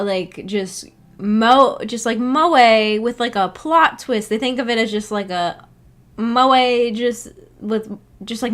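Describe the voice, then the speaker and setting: A woman's voice: on the high side, a bit bright. A woman livestreamer chatting casually with her viewers, in a rambling, hesitant, giggly manner. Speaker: livestreamer, book club host. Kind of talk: casual livestream chat, reading and answering viewer comments.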